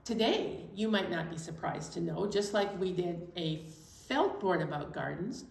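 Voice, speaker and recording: A woman's voice: lowish voice, expressive, unhurried, creaky, a little mellow. A woman hosting a children's storytime, playfully explaining songs and activities in a sing-song way to small children. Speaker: children's library storytime host. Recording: roomy echo, distant microphone.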